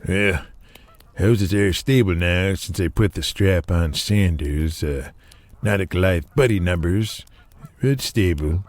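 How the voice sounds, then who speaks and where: A man playing a gruff, stubborn older father who runs a wrestling promotion in an audio drama. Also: sits low, takes it slowly, pauses often, no room sound. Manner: gruff, dismissive.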